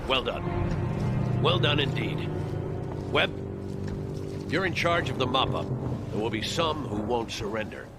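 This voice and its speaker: A man's voice: mid-range voice, lively intonation, a little slow, pauses often, natural voice. A man playing a stern army colonel. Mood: satisfied. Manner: clipped military orders, curt. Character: stern determined male army colonel, commanding. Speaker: army colonel commanding officer. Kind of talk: video game cutscene acting. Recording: game soundtrack music behind.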